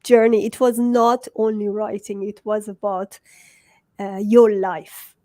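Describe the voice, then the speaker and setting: A woman's voice: mid-range voice, flat delivery, slow pace, warm tone, no echo, clean studio sound. A woman with a Persian accent, a native Farsi speaker, hosting a conversational interview. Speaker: host, book coach.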